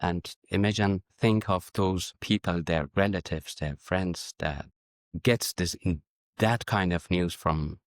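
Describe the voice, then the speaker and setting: A middle-aged man from Afghanistan whose first language is Farsi, answering interview questions in a measured, reflective way and often restarting his sentences. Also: low voice, dry recording, clean studio sound. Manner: measured, searching for words with frequent restarts. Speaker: guest, diplomat and educator.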